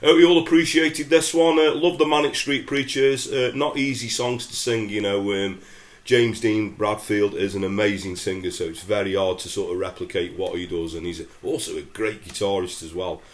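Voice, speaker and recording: A man's voice: fairly low pitch, moderate pace. A middle-aged English man with a head cold and nasal voice, chatting casually through a guitar lesson with lots of fillers. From England. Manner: chatty, relaxed, many fillers. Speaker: guitar teacher. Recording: roomy sound with loud acoustic guitar.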